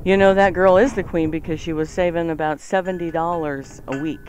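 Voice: mid-range voice, steady tone, fairly quick, background noise. A playful, teasing radio co-host who chats, jokes and reads out items for listeners. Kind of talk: chatty, joking radio banter and item reading.

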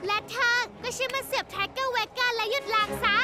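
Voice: very high voice, steady tone, fast talker. An actor voicing an earnest, plucky child superhero in a dubbed children's cartoon, with emphatic delivery. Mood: determined. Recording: loud music behind.

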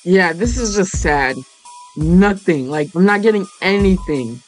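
A raspy young man who just woke up, hosting a casual gaming video and pleading with the game for better luck. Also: high-pitched, animated, measured pace, soft background music, rough recording. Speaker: gaming channel host.

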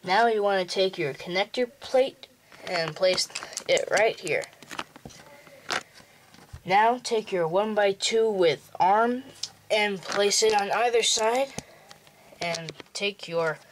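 A man's voice: sits high, a little hiss or hum. A young male host giving a plain, step-by-step walkthrough for building a toy model. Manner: slow, plain instructional walkthrough. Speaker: tutorial host.

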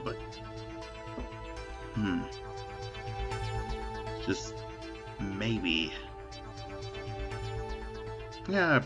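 A man voicing an angsty, short-tempered hedgehog antihero in an improvised comedy parody dub, with a halting, exasperated manner. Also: on the low side, dull audio, natural voice. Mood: brooding.

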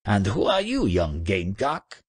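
A man's voice: mid-range voice, huge pitch swings, normal speed, vocal fry, breathy edge, no echo, pitched above the performer's own voice, exaggerated voice. A man voicing a huge, boisterous and superstitious trader in a storybook, with a bellowing, effusive manner.

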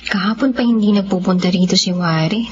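A woman's voice: mid-range voice, fairly quick, darkish tone, close to an everyday voice. A woman playing a worried young sweetheart in a Filipino radio drama, confiding wistfully. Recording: dull, lo-fi audio with faint noise.

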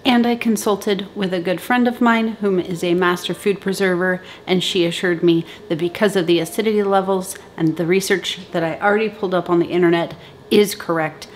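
A woman's voice: fairly low pitch, fairly even intonation, slight background noise. Chatty woman teaching home canning. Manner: chatty, self-deprecating, brisk instructions. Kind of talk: casual how-to talk to camera. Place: home kitchen, indoors. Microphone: camera at close range.

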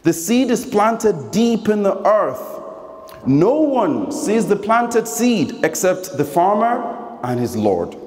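A man's voice: high-pitched, darkish tone. A young man giving an earnest Friday sermon from notes at a stand microphone in a large mosque hall. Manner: earnest, reading from paper, chants Quranic verse. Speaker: preacher. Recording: echoey hall reverb.

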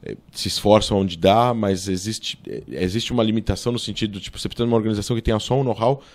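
Deep voiced sao paulo guy in his 30s, podcast guest, talks fast with lots of um and restarts, studio mic. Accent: Brazilian Portuguese, São Paulo. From Brazil. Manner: fast, animated storytelling with many fillers and self-corrections. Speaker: guest, political scientist. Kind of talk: casual interview, storytelling. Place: small studio with table and monitor. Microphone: boom-arm studio mic.

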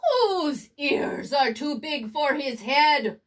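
A woman's voice: high voice, very animated, slow pace, voice pushed up, heavily acted. A woman voicing a solemn riddling Sphinx. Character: grand ancient Sphinx posing riddles. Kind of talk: storybook read-aloud.

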